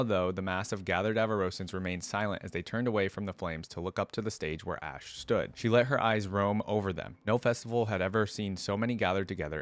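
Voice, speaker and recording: A man hosting a reaction video, reading story lore aloud and breaking in with casual, conversational commentary. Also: low-pitched.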